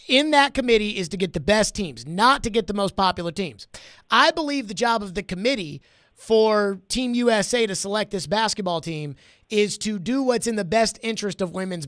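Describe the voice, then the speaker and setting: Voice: medium pitch, a bit bright, dry recording. Emphatic sports talk host, very dry sound. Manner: emphatic, argumentative, repeats phrases for effect. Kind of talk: opinionated sports debate.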